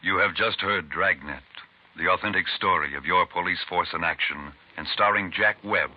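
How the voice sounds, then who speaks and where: A male announcer reading a formal epilogue and sign-off in a measured, authoritative way on a dull old radio broadcast. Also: low voice.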